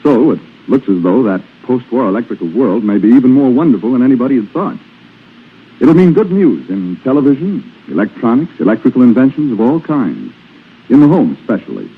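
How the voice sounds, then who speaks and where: A man's voice: mid-range voice, very animated, fairly quick, slightly rough, phone-quality sound. A man reading a scripted sponsor message as a commercial announcer on 1940s radio. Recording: thin, band-limited 1940s radio broadcast.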